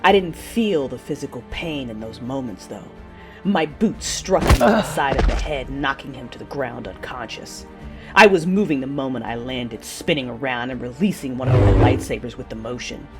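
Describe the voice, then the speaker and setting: A woman playing a passionate, fierce but wounded Sith warrior, narrating her own story in an intimate, breathy audio-drama style. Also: rumbling low, expressive, normal speed, music in the background. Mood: fierce, driven. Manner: intimate, breathy, intense delivery.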